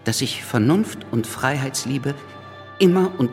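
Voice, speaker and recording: A male actor as an eloquent, ironic revolutionary writer. His mid-range voice is highly animated and reverberant, with faint music around the radio drama narration. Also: a little slow, close to an everyday voice. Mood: wry, expository.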